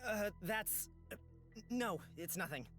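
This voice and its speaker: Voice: medium pitch, animated, slow, fairly bright tone, faint music behind. An actor voicing an earnest, anxious exiled boy searching for answers in a fantasy visual novel, with a hesitant manner. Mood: hesitant, subdued.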